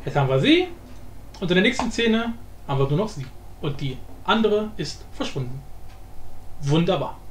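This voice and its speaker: A man giving a casual, informal software tutorial, explaining step by step. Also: high-pitched, very animated, moderate pace, long pauses. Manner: informal, explanatory, often adds tag questions. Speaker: tutorial host. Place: indoor room, voice-over of screen recording. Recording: roomy echo with faint background noise.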